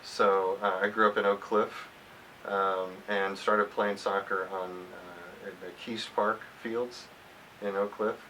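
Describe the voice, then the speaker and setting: A man in his fifties from Texas speaks in a low voice with a bright, firm edge. He answers interview questions reflectively, often pausing and restarting a phrase. The microphone is some way off, with a hiss underneath. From United States, grew up in Texas. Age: 50s. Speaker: alumni interviewee, former college athlete. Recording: hissy, distant microphone.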